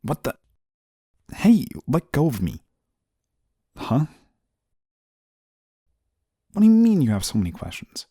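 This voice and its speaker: A man playing an exasperated, rule-bound but soft-hearted guardian angel in a scripted audio roleplay, scolding in a weary, formal way. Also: low-pitched, lively intonation, measured pace, long pauses, husky, no room sound, studio quality, full character voice. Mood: flustered, annoyed. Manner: weary, scolding, formal wording, sighs often.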